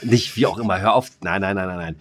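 A man's voice: deep voice, fast talker. A man co-hosting a podcast in casual comedic banter, rambling and throwing in jokey asides. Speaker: podcast co-host.

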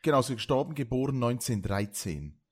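A man's voice: medium pitch, lively intonation, quick pace, husky. A man from Switzerland chatting casually as a podcast co-host, agreeable and often interjecting confirmations. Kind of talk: casual chat.

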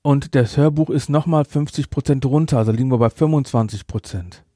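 A man hosting a podcast, giving a casual review in a blunt, emphatic, halting way. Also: medium pitch, dark tone, dry recording, studio quality. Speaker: podcast host.

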